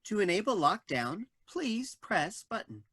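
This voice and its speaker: Casting: female actor as impassive polite computer announcer for audio comedy, slightly breathy, dry close sound. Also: mid-range voice, sing-song, unhurried, a bit bright, no echo, exaggerated voice. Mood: impassive, formal. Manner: formal, pre-programmed politeness. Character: polite, emotionless automated building computer announcing status. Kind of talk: comedic audio drama acting.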